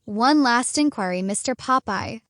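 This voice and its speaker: A woman's voice: fairly high pitch, lively intonation, moderate pace, dry recording, studio quality. A woman playing a naive, curious young stranger from a hidden world, formal and stilted, in a dramatized comic. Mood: earnest, inquiring.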